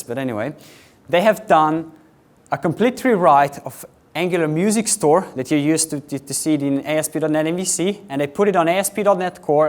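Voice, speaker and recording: A young man from Slovenia with a Slovenian accent, giving a quick, chatty technical conference talk to a live audience. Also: fairly high pitch. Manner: quick, chatty presenter with filler words, addressing a live audience. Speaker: conference speaker.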